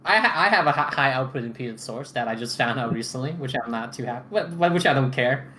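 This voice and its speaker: A man's voice: medium pitch, animated, quick pace, bright, forceful tone, a little hiss or hum. A man on a podcast panel chatting casually and opinionatedly, often repeating words as he goes. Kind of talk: casual roundtable chat.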